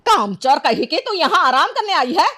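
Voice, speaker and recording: A woman's voice: fairly high pitch, big pitch swings, normal speed, a bit bright, no echo, studio quality, pitched above the performer's own voice. A woman voicing a harsh, scornful middle-aged mother-in-law who scolds and orders her maid about in a cartoon story. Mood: angry, sarcastic. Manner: scolding, commanding, sarcastic. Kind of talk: Hindi cartoon story character dialogue.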